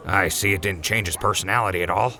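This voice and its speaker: A man's voice: deep voice, fast, vocal fry, a crowd in the background. An actor playing an easygoing young man in a radio drama, wry and joking.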